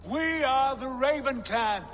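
An actor playing a proud, defiant Viking prince and clan leader in a video game, speaking like an orator. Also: male voice, very high voice, animated, takes it slowly, a bit bright, heavily acted. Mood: rousing, resolute. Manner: declamatory, oratorical. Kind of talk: dramatic game cutscene acting.

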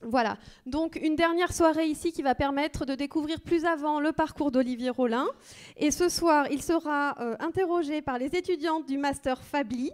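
High-voiced woman opening an event. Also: moderate pace. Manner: hesitant, frequent fillers. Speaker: event organizer. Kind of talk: introductory welcome speech. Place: theater stage.

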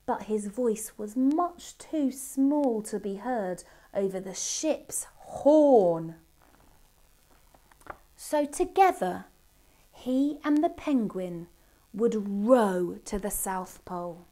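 Slow, mellow woman reading a storybook. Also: medium pitch, lively intonation. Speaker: storybook reader. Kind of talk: expressive children's storybook reading. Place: indoor room by a Christmas tree. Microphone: camera a short distance away.

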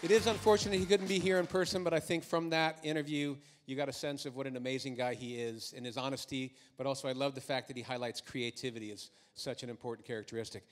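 A man's voice: mid-range voice, steady tone, quick pace, few pauses. A man hosting an event, introducing the next speaker in an upbeat announcing way. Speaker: event host. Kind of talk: emcee introductions. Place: event venue stage. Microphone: handheld microphone. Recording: music playing under the voice.

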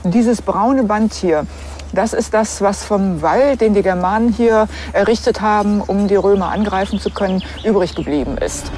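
A woman's voice: medium pitch, normal speed, background noise. A woman explaining finds as an archaeologist in an unscripted documentary interview.

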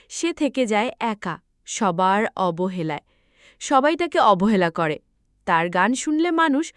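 A woman's voice: on the high side, smooth, no room sound, studio quality. A woman narrating a scripted children's story, expressively, as if speaking to young listeners.

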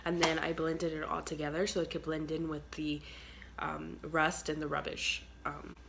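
A woman's voice: low-pitched, flat delivery, normal speed, gravelly, fairly bright tone, a little hiss or hum. A young woman with a stuffy, congested nose chatting through a makeup tutorial, filler-heavy and trailing off into near whispers, filmed on a phone in a small bedroom. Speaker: beauty vlogger. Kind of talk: casual makeup tutorial chat. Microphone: phone camera at arm's length.

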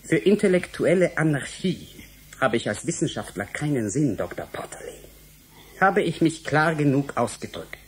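An actor playing an earnest, cautious young male scientist in a German radio drama, speaking in formal, measured sentences. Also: on the high side, big pitch swings, slight background noise. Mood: defensive. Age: 20s.

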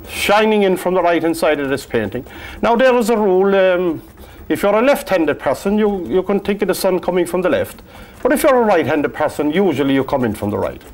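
An older man with a Cork Irish accent leads a painting lesson in a studio, talking through each stroke in a chatty, encouraging way. His voice sits a little high for a man and swings widely in pitch. He is picked up close on a lapel mic. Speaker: painting instructor. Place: studio with easel and backdrop.

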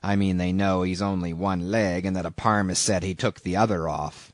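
A man's voice: sits low, steady tone, normal speed, no room sound, voice pushed down, lightly acted. A man voicing a shabby old sailor in an audiobook, an ominous, derisive stranger who talks insistently in archaic seafaring speech. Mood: ominous, needling. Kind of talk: audiobook character reading.

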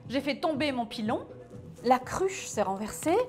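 A woman's voice: high-pitched, very animated, unhurried, faint music behind. A woman voicing a young village woman who apologetically explains what happened. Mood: apologetic.

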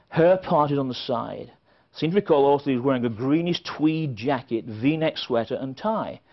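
A middle-aged man from England, giving a keynote speech at a conference and telling stories with dry, wry asides. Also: fairly high pitch. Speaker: conference speaker. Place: conference hall with a seated audience. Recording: dull, lo-fi sound.

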